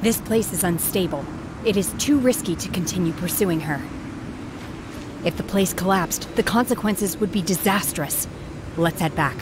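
Low-voiced, reserved young warrior woman played by an actress. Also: noisy background, natural voice. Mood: grave, resolute. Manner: measured, restrained, earnest. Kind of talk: video game voice acting.

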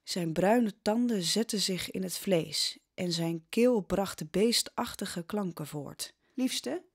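A woman's voice: lowish voice, slow pace, husky. A woman narrating a historical audio drama as scripted, dramatic storytelling.

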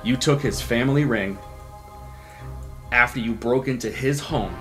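A man's voice: mid-range voice, a little slow, fairly bright tone, soft background music. A man playing an improvised tabletop roleplaying game, talking casually and swearing freely.

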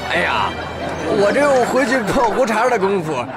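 An actor playing a fussy, chatty show-off adviser in a comic radio play, excitable. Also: male voice, sits high, normal speed, loud music behind the voice, a loud crowd. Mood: curious, fussy. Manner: excitable, stammering patter with exclamations.

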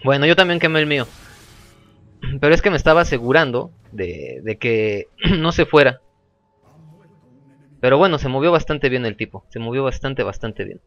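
A man's voice: mid-range voice, normal speed, pauses often, dry recording, soft background music. Casual gamer narrating his match, sore throat, slightly muffled home mic. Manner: relaxed, repeats phrases, chatty. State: sore throat, clearing it often. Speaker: gameplay commentator. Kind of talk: casual gameplay commentary.

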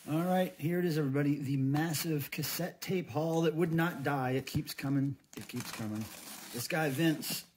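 A man's voice: fairly high pitch, moderate pace, a little mellow. A middle-aged American man giving casual, folksy unboxing commentary as a host, thinking aloud as he goes. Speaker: host of a cassette unboxing video. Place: indoors at a tabletop. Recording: clear crinkling and handling noise. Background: plastic wrap crinkling, cases clicking.

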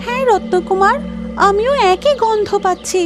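A woman voicing a sweet, curious young mermaid in a cartoon fairy tale, earnest and expressive. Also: extremely high pitch, normal speed, voice pushed up. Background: music.

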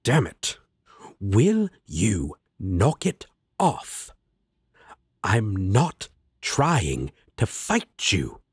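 A man's voice: on the low side, wildly swooping pitch, extremely slow, husky, dry recording, studio quality, heavily acted. A man voicing a sardonic, exasperated but protective demon roommate in a fantasy audio roleplay, blunt and sarcastic. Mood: irritated.

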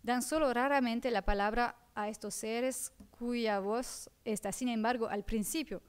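Woman with a Portuguese accent, halting lecture. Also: a little high, steady tone, clear, resonant tone. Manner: careful, halting reading with frequent self-corrections. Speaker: academic speaker. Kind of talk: reading a conference paper. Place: seminar room at a table.

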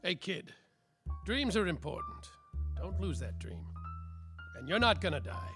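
A man's voice: high-pitched, sing-song, a little slow, music in the background, exaggerated voice. A man playing a world-weary, boastful private detective in a slapstick radio mystery. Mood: narrating, dry. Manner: boastful, hard-boiled. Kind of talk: parody radio-mystery acting and narration.

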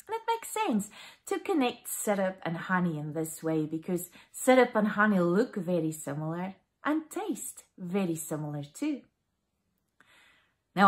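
Lively South African woman minister. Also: mid-range voice. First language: Afrikaans. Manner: animated storytelling to children, asking questions. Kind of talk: children's talk in a family service. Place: living room at home.

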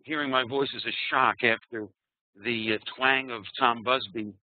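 A man's voice: medium pitch, steady tone, normal speed, no room sound. A middle-aged man with a New York accent presenting a trading lesson in a conversational way with frequent fillers and restarts. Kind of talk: webinar presentation. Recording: tinny phone-like online audio.

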